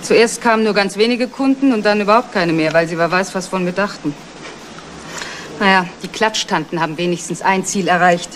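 A woman's voice: medium pitch, fairly quick, lightly acted. A woman playing a frank, flirtatious, hard-up photographer, chatty and wry, in 1970s radio crime drama style. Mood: wry, confiding. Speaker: actress. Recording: noisy old 1970s radio play recording.

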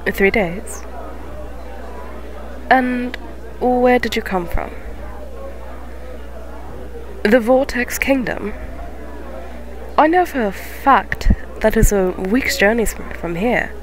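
A woman playing a gentle, motherly young cat witch who keeps a tavern, speaking softly and formally in an ASMR fantasy roleplay. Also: fairly high pitch, a faint crowd, rough recording. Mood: friendly, curious. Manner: soft-spoken, formal, unhurried phrasing. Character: gentle eighteen-year-old neko witch tavern keeper, motherly, polite.

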